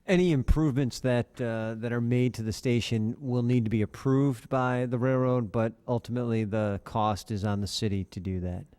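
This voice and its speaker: Mellow, smooth-voiced man answering questions. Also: mid-range voice, steady tone, moderate pace, hardly any pauses, no room sound. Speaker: city staff member. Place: council chamber.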